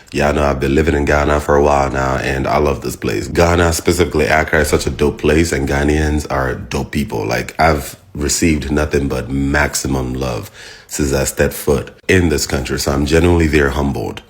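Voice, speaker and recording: A man's voice: very deep voice, fairly even intonation, fairly quick. A young man from Nigeria with a mix of Nigerian and American accent, chatting casually to his viewers and asking their opinion. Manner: chatty, repeats shout-outs, laughs and teases near the end. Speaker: vlogger asking viewers a question. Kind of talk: casual direct-to-camera talk.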